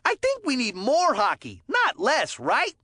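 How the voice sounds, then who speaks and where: An actor playing a cheerful, folksy and excitable hockey commentator in a kids' video game, chatty and eager. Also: sits high, measured pace, breathy edge, dry recording, clean studio sound, exaggerated voice. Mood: chipper, chatty. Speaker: sports commentator. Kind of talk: animated sports game commentary.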